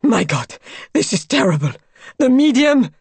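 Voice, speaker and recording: A woman's voice: mid-range voice, animated, moderate pace, mellow, slightly rough, dry recording. A woman voicing a horrified man on the edge of madness, in anguished exclamations, for a dramatic story reading. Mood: horrified outrage. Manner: anguished exclamations, rising toward screams. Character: horrified young man, frantic and near madness.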